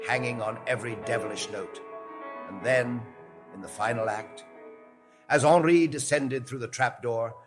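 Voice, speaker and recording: A man's voice: on the low side, lightly acted. A man acting an old, nostalgic Frenchman who tells opera tales dramatically, dropping in French phrases, in an audio drama. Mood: grave, haunted. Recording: long hall reverb under music.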